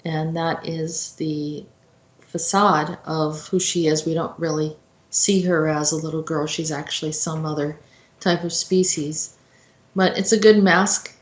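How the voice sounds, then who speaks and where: A woman giving an informal explanatory talk as a host, in a halting, reflective manner. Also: low-pitched, fairly even intonation. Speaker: host commentator. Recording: roomy, echoey sound.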